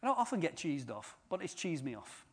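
A man's voice: a little high, sing-song, fairly quick, breathy edge. A man giving an informal sermon, chatty and joking with the congregation. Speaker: preacher.

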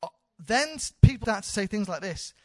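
A woman's voice: medium pitch, expressive, brisk, mellow, no room sound. A woman in her thirties, a church leader, giving an informal, candid sermon with self-deprecating humour. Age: 30s.

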